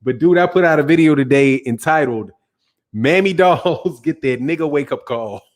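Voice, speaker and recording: A man's voice: a little high, animated, moderate pace. A man hosting a casual boxing livestream, reading viewer comments and arguing in loose, slangy banter that keeps trailing off and restarting. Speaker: boxing channel host.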